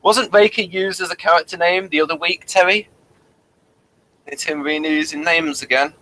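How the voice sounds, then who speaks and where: A man's voice: fairly high pitch, fairly even intonation, normal speed, sharp tone, poor audio. A man hosting a conversational review, reading notes aloud and adding dry, wry asides. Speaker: review show host.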